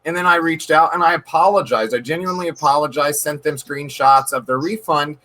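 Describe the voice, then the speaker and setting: A man's voice: a little high, brisk, sharp tone. A man, a card shop owner guest, talking earnestly and emphatically in a casual interview, often repeating words as he thinks. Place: dark room.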